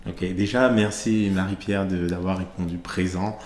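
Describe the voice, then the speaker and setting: A man in his thirties from Cameroon, being interviewed on a podcast and answering in a measured, thoughtful way with frequent hesitations, into a studio microphone. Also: sits low, steady tone, a little mellow, reverberant, a little hiss or hum. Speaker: podcast host, interviewed guest. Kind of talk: conversational interview. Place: studio set with plants and glass-block wall. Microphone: studio mic on stand.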